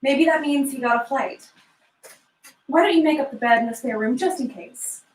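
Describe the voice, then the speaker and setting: A woman playing a brisk working mother in her thirties in a script reading. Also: high-pitched, natural voice. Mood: warm, gentle. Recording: echoey room, distant mic.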